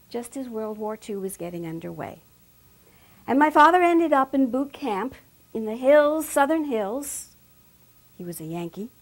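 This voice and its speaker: A woman with a soft voice telling a personal family story, hushed and lingering, with sharp emphasis on key words. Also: fairly high pitch. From Bermuda.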